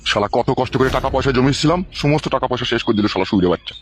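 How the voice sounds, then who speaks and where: Fast, scolding cartoon father played by a man. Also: mid-range voice, close to an everyday voice. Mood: bitter, resigned. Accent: rural West Bengal Bengali. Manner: gruff, cursing, scolding. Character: angry, foul-mouthed father scolding his grown son. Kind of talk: comic cartoon dialogue.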